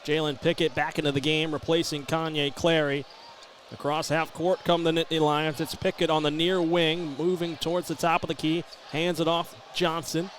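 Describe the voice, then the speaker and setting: High-voiced American man calling basketball play-by-play. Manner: brisk, descriptive, rising to excited calls. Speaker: play-by-play sports announcer. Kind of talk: live basketball play-by-play commentary. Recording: live arena broadcast, background ambient noise. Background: basketball game ambience.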